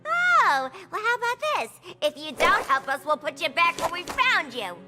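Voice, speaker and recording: A scrappy, girlish villainess in a video game. The voice is extremely high and animated, cutesy yet bossy, over loud music. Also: moderate pace. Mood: sly. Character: girlish, scrappy female supervillain, cheeky and bossy.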